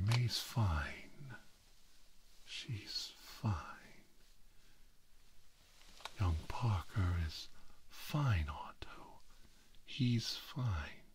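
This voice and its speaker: A man playing an unhinged, brooding middle-aged scientist villain in a whispered ASMR roleplay, muttering haltingly and repeating himself. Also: fairly low pitch, darkish tone. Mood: menacing, coaxing.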